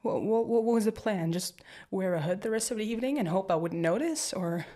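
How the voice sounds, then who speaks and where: A young woman playing an anxious, protective girlfriend in a listener-directed audio roleplay, rambling and stammering, often sinking into hushed whispers. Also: mid-range voice, expressive, fairly quick, lightly acted. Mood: angry worry.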